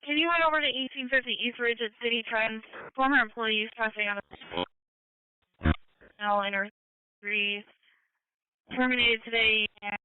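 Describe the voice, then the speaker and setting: A woman's voice: medium pitch, clean tone, no room sound. A woman working as a police dispatcher, talking over the radio on a scanner feed. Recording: tinny, narrow-band police scanner radio.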